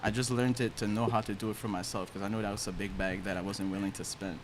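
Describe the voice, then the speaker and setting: Laid-back young man, slightly low voice. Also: quick pace, slight background noise. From Haiti. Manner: relaxed storytelling with slang. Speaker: guest musician. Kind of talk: casual interview chat.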